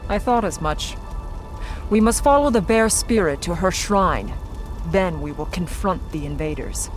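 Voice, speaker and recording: A woman's voice: on the low side, animated, measured pace. An actress playing a grim, proud Norn warrior woman in a video game, speaking in a solemn, terse, formal way. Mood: resolute. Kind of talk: video game cutscene acting. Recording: music behind the voice.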